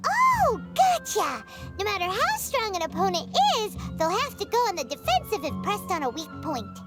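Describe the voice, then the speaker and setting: A tiny floating fairy companion, with a very high, sing-song voice that leaps all over the place. She chatters eagerly and calls herself by name. Bright game music plays loudly underneath. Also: wildly swooping pitch, normal speed, exaggerated voice. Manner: bouncy, exclaiming, refers to herself by name. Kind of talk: video game voice acting.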